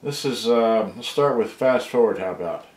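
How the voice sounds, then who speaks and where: A man's voice: mid-range voice, lively intonation, normal speed, darkish tone. A man giving a casual, rambling demonstration at a workbench, muttering to himself as he goes. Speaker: hobbyist repairer showing a vintage boombox. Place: cluttered indoor workbench. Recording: roomy, noisy home camera recording.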